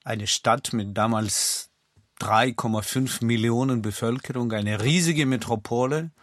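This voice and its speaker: An older man in his sixties speaks with a Ukrainian accent and non-native grammar. He recounts his past in an explanatory way as a studio guest. The pitch is average for a man and the sound is dry. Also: a little slow, fairly bright tone, no room sound. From Kyiv, Ukraine. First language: not German. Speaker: podcast guest. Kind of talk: personal recollection in an interview.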